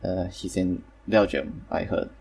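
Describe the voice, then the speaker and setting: A man's voice: sits low, steady tone, measured pace, creaky, darkish tone, breathy edge, recorded from a distance. A man hosting a casual online group chat, talking hesitantly with many fillers and apologies. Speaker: host.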